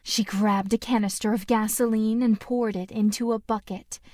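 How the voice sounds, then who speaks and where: A woman voicing a young female video game narrator who calmly recounts a killer's schemes in a measured, scripted way. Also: mid-range voice, no echo, studio quality, close to an everyday voice. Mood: composed, sinister. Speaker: voice actress for a game character.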